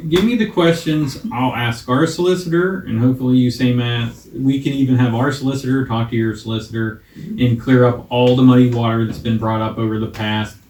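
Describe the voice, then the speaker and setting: A man's voice: mid-range voice, darkish tone. Plain-spoken American man in echoey room. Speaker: borough council member. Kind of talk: informal public meeting discussion. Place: small-town council chamber, indoors. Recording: echoey room, distant mic, faint noise.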